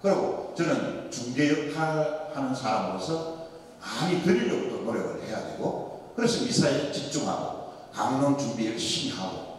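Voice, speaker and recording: Animated priest with a Daegu accent. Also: male voice, medium pitch, normal speed. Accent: Daegu dialect. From Daegu, South Korea. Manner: animated, joking storyteller with rhetorical questions. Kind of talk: Lenten sermon lecture. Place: large church hall. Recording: echoey church hall, mic far off.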